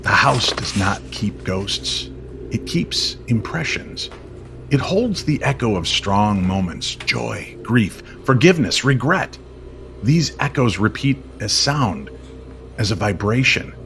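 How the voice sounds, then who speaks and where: A man telling a mysterious story in old-time radio style, expressive and measured, with weighty pauses. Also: lowish voice, vocal fry. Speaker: co-narrator of an audio story series. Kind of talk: dramatic scripted story narration in old-time radio manner. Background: music under the voice.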